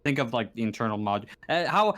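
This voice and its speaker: A man's voice: medium pitch, sing-song, brisk, a bit bright, no echo. A man speaking as game master of a tabletop roleplaying game, casual, informal and joking.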